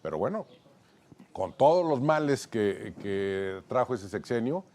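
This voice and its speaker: A man's voice: lowish voice, sing-song, a little slow, darkish tone, slight background noise. A Mexican man commenting on politics on a panel, building his case in rhythmic repeated lists. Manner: lists points in rhythmic repetitions.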